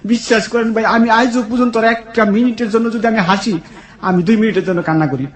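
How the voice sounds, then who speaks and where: Fast-talking Bangladeshi man, echoey, confessional. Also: sits high, steady tone. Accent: Bangladeshi, colloquial regional. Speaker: guest, migrant worker telling his life story. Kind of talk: personal confessional storytelling. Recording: roomy echo on the voice.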